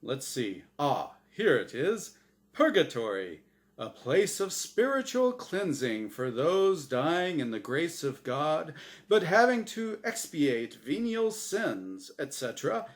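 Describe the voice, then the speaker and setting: A man puts on a showy male angel hosting a game show in a storybook tale. He is smug and theatrical, punching his patter. The pitch rides high and leaps about dramatically. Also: very animated, takes it slowly, heavily acted. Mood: smug, teasing. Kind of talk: storybook character voice in dramatic reading.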